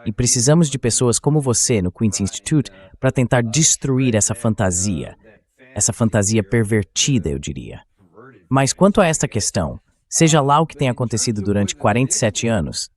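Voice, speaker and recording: Man, medium pitch, talk host, dubbed political commentary. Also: animated, brisk, a little mellow.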